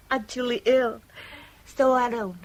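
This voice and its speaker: An actress playing a polite, coy young heiress in a 1970s radio drama, speaking in a courteous manner. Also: female voice, on the high side, steady tone, takes it slowly. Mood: polite, relaxed. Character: polite, demure young Chinese heiress in Hong Kong. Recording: slightly noisy 1970s radio drama recording.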